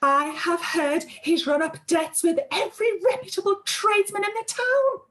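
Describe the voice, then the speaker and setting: A woman's voice: very high-pitched, expressive, normal speed, a bit bright. A woman playing a gossipy middle-aged aunt in a period radio play, scandalised and relishing each word.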